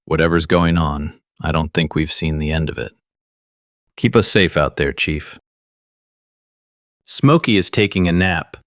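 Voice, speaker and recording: A man's voice: very deep voice, a little slow, long pauses, a bit bright, no room sound, studio quality, exaggerated voice. A man playing a wry conspiracy show host in an audio drama, talking in a conversational, conspiratorial way. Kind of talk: scripted audio drama monologue as talk-show broadcast.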